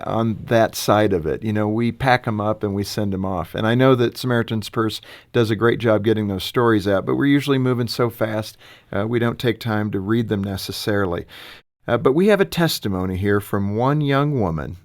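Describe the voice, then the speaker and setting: A man's voice: lowish voice, dry recording, studio quality. A middle-aged man co-hosting a talk show, chatting in an easygoing way. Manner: easygoing, with frequent "uh" fillers. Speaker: radio co-host. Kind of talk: casual talk-show chat.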